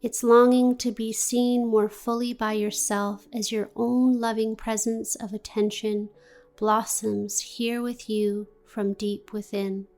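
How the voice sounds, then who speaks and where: A woman guiding a meditation in a gentle, deliberate, soothing manner with long pauses. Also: on the high side, level tone. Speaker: yoga nidra meditation guide. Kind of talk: guided meditation, scripted narration.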